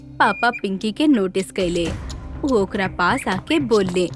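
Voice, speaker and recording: A woman with a Bhojpuri accent giving scripted narration for an animated children's story. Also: medium pitch, slow pace, music in the background.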